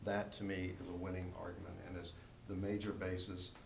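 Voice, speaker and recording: A man's voice: low voice, level tone, a little fast, gravelly. An American man making unscripted remarks as a council member at a public meeting. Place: indoor council chamber. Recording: echoey noisy room, distant microphone.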